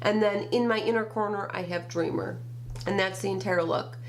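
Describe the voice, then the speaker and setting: A woman's voice: medium pitch, steady tone, moderate pace, a little hiss or hum. A grandmother with a nasal, stuffed-up voice from a summer cold, chatting through a makeup review in a small bedroom and naming each shade one by one. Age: older. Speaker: beauty vlogger. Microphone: camera at desk distance.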